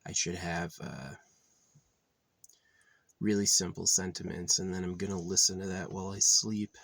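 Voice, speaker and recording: A man speaks in a low, gravelly voice, rambling casually and circling back with fillers and restarts. His deep tone sits close and dry, with no room echo around it. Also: steady tone, moderate pace, a bit bright. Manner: loose, full of fillers and restarts. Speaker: vlogger.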